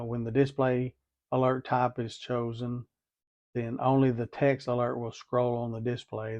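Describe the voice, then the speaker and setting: A man's voice: medium pitch, dry recording. A man giving a methodical, hands-on tutorial, talking through each step and repeating as he goes. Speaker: DIY product demonstrator.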